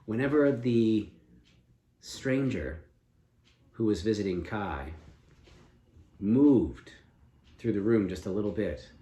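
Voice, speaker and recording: Slow, low-voiced man telling a story. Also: dark tone. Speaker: storyteller and co-host with a child. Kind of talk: improvised collaborative storytelling. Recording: echoey room, faint background noise.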